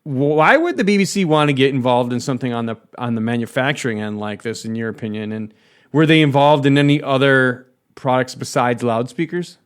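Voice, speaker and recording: A man's voice: mid-range voice, fairly quick, smooth. An American man hosting a podcast interview in a casual, chatty, discursive way. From United States. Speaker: podcast host.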